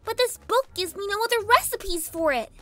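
A woman's voice: extremely high pitch, expressive, moderate pace, sharp tone, full character voice. A woman voicing a bratty, scheming little filly villain in a whiny, theatrical manner for a dramatized story reading. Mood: exasperated. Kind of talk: dramatized fan fiction reading. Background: background music.

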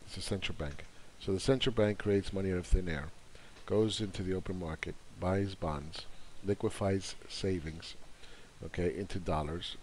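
A man's voice: sits low, normal speed, slight background noise. A man explaining at a whiteboard in a patient lecture, checking in with his listeners as he goes. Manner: patient, step-by-step, checks in with "okay". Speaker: market analyst explaining at a whiteboard.

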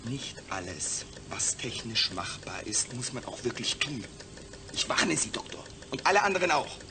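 A man's voice: medium pitch, very animated, moderate pace. A man playing a proud, demanding astronaut patient in a science-fiction radio drama, speaking in an emphatic, articulate way. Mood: defiant, indignant.

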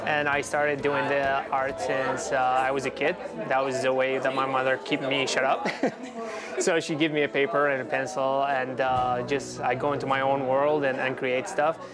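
A young man from Iraq talks casually in an indoor gallery, filling gaps with "uh" and "you know." His voice sits at a middle pitch, picked up on a lapel mic. A music bed plays clearly beneath him. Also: moderate pace. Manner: relaxed, rambling with many fillers. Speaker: artist interviewee. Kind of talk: casual interview.

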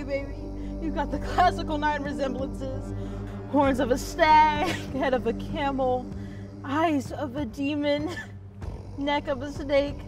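A woman's voice: sits high, far from the mic. A young woman acting a dramatic monologue as a wry, defiant woman in labour, breathless and pleading. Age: young adult. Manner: dramatic, breathless, with sardonic asides. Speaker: student actor. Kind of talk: theatrical monologue performance.

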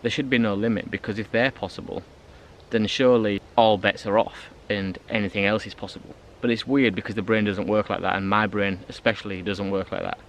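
A man's voice: lowish voice, fairly even intonation, a little fast, slight background noise. A man in his thirties with a British accent, chatting casually to the camera outdoors in a forest, rambling with fillers and restarts. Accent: British English. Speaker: bushcraft vlogger. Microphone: handheld camera at arm's length. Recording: background music behind the voice.

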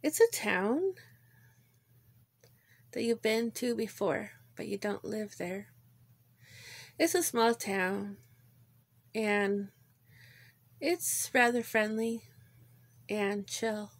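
A woman leading a guided visualization in a gentle, informal way, pausing between short phrases. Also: mid-range voice. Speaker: guided meditation leader. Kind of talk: guided meditation, visualization narration.

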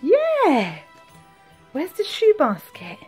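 Mum doing a home vlog, high voice, really up and down, chatting to her kids. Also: very slow, soft background music. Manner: animated, sing-song with her children. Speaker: vlogger and mother. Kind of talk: casual home vlog chat, talking to young children. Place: indoors at home, kitchen and bedroom.

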